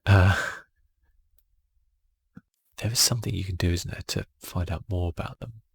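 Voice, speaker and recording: Male ASMR gamer: soft, breathy, low and creaky, casual half-whispered play-by-play. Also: moderate pace, no room sound. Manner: casual, laid-back, musing to himself. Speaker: gaming channel host. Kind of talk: relaxed ASMR game commentary.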